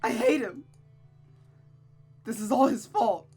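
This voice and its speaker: Woman playing distraught young woman, high-pitched, stammering, sobbing, pleading, visual novel drama, music behind. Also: lively intonation, slow, warm tone, slightly rough, exaggerated voice. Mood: bitter, hateful. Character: distraught, frightened young woman unravelling into madness.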